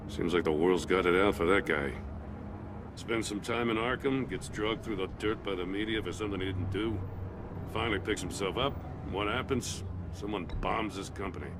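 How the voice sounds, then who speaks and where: Man playing a weary low-voiced police chief. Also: normal speed, close to an everyday voice. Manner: world-weary, plain-spoken. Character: weary, principled middle-aged male police chief. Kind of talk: video game voice acting. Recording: outdoor city noise behind voice.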